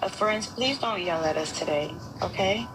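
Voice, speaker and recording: A woman with a high-pitched voice chatting sweetly as a juror on a live stream. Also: normal speed. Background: music.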